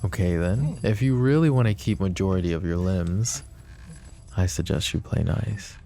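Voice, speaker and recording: A man voicing a possessive, menacing mafia boss in a roleplay audio drama, talking slow and taunting, with sweet pet names. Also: deep voice, a little hiss or hum. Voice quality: soft, smooth and taunting. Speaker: voice actor.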